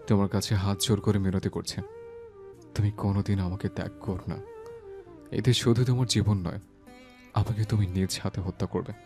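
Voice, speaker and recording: A man playing a devoted young husband in a romantic audio story, speaking in an earnest, tender way. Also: low-pitched. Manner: earnest, soft-spoken.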